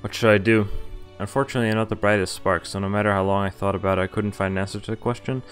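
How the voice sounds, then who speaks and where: A man's voice: lowish voice, steady tone, very fast, faint music behind. Young man reading a game aloud. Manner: reads narration plainly, breaks off into wry, exasperated asides. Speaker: streamer reading a visual novel aloud. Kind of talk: casual reading with commentary.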